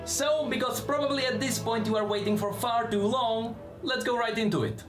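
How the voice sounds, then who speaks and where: A man's voice: extremely high pitch, steady tone, normal speed, music in the background. A man narrating fantasy lore as scripted, dramatic storytelling, with explanatory asides.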